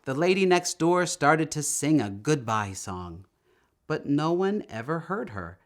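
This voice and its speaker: A man reading a children's story aloud in an expressive, deliberate storytelling manner. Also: a little high. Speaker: storybook reader.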